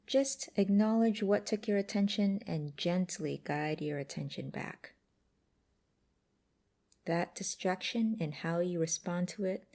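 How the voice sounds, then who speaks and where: A woman guiding a mindfulness exercise in a gentle, unhurried, instructive way with pauses between phrases. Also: on the low side. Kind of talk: guided meditation narration.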